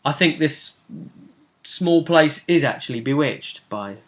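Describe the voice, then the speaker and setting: A man's voice: fairly high pitch, animated, slow pace, a bit bright. A man acting as game master, chattily recapping a tabletop role-playing session in an informal, rambling way. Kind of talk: casual conversational recap.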